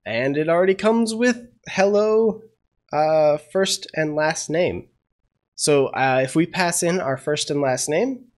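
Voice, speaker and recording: A young man hosts a casual coding tutorial, a little under the weather from a cold. His voice sits slightly high, and he thinks aloud, filling pauses with "uh" and admitting when he isn't sure what he just did. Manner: thinking aloud, many "uh" fillers, unsure asides. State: getting over a cold. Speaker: programming tutorial host.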